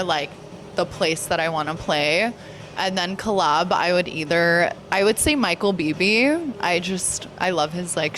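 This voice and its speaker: A woman DJ chatting casually and excitedly as an interview guest, peppering her talk with "like". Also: lowish voice, slight background noise. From California, United States. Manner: excited, gushing, frequent "like" and "literally". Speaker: DJ and producer being interviewed. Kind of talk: casual chat.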